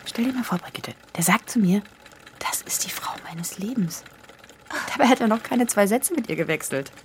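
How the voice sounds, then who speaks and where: An actress playing an excitable, impulsive young woman in a naturalistic radio play, a little breathless. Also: mid-range voice, moderate pace, a little hiss or hum, natural voice. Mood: indignant. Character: Rita, excitable young woman, keen tango and twist dancer. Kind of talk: naturalistic radio drama acting. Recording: radio play with music behind.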